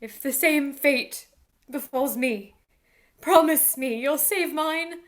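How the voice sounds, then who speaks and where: An actress playing a tormented young woman under a dark spell, anguished and melodramatic in a radio play. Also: high-pitched, slow.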